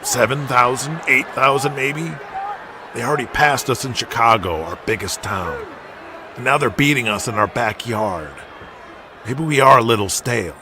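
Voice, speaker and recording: An actor playing a worried, frank wrestler son of a promoter in an audio drama. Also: male voice, medium pitch, normal speed, lightly acted. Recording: noisy arena ambience behind voice. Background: arena ambience.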